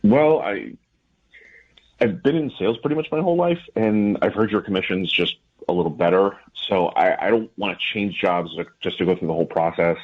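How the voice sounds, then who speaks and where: A man's voice: deep voice, a little fast, breathy edge. A man in his thirties having a casual phone conversation. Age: 30s. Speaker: man answering a phone call. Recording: muffled lo-fi phone call.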